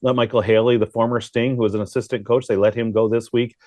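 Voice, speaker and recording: A man with a medium-pitched voice talks sports like a seasoned broadcaster in conversation. He is talkative and informal, wandering into asides as he analyzes. The recording is dry, with hardly any room sound. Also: level tone, fast talker, darkish tone, dry recording.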